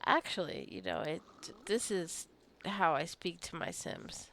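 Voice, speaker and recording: Gravelly low-voiced woman chatting through gameplay. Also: big pitch swings, unhurried, fairly bright tone, slight background noise. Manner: rambling, scolds her game characters jokingly. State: tired, wants coffee. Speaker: gameplay streamer. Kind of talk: casual gameplay commentary.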